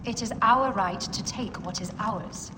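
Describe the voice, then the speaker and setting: A woman's voice: mid-range voice, a little slow, close to an everyday voice. An actress playing a proud, imperious young queen of ancient Egypt in a game cutscene, regal and commanding. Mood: haughty, assured. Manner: regal, commanding, self-assured. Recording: echoey reverb with clear background noise.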